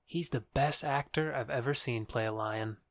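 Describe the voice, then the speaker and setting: An actor playing a joking courtier who heckles with teasing quips in a comic audio drama. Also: male voice, mid-range voice, normal speed, lightly acted. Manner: teasing, quipping. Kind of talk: modernized Shakespeare audio drama comedy.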